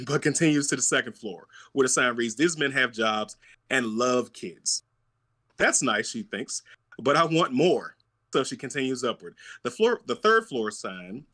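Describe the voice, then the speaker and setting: A man hosting a casual podcast, telling a story and reading it aloud, with animated, profane asides. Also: medium pitch. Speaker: podcast host.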